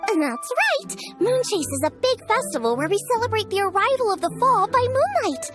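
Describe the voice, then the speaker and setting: A woman's voice: extremely high pitch, very animated, brisk. A woman playing a bubbly, enthusiastic teenage girl chef in a video game, chatting eagerly. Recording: music under the dialogue.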